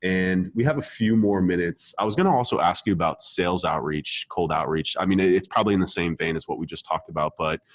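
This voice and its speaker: A deep-voiced man in a dry, close-sounding recording hosts a casual marketing conversation. He speaks emphatically, repeats himself to drive points home and often checks that the listener follows. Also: fast talker, few pauses, no room sound. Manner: emphatic, repetitive, casual swearing, frequent check-in tags. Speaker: podcast host, marketer.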